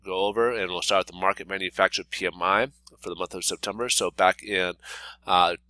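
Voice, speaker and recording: A man's voice: sits low, steady tone, a little fast, bright, forceful tone, no room sound. A man with a cold and a congested voice, giving a casual daily market report with lots of fillers and restarts. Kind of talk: unscripted solo market commentary over slides.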